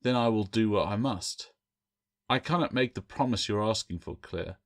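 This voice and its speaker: Man playing a grim, low-voiced pirate captain. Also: natural voice. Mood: grave, wary. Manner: measured, ominous, firm. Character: grim, wary, tall male pirate captain.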